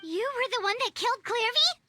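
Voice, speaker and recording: A woman voicing a tiny, childlike fairy companion in a video game, squeaky, curious and wary, with an expressive cartoonish delivery. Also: fairly quick, a little hiss or hum, exaggerated voice. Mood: shocked, curious. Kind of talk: scripted video game voice acting.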